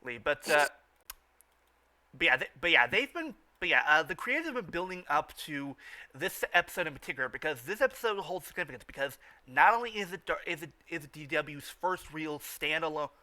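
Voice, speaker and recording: Bright, high-pitched man stammering through fan commentary. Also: animated, fairly quick. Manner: stammering, often repeats phrases. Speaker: host of a fan riffing show.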